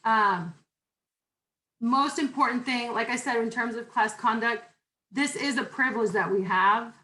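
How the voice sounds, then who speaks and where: A woman instructor with a loud voice, talking informally through a syllabus over a video call, with many fillers and restarts. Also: fairly high pitch, measured pace, low-quality recording. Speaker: university instructor. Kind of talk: online class welcome and syllabus talk.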